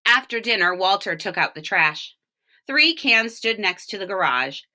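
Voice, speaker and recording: Bright, animated woman reading a storybook. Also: mid-range voice, moderate pace. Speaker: storybook reader for children. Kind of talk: read-aloud storytelling with brief picture explanations.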